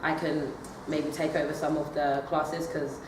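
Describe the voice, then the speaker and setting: A woman's voice: sits low, little pitch movement, unhurried. A young woman, a drama student, answering interview questions hesitantly with many fillers and restarts, recorded from a distance in an echoey room. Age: young adult. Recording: echoey room, far from the mic, noisy.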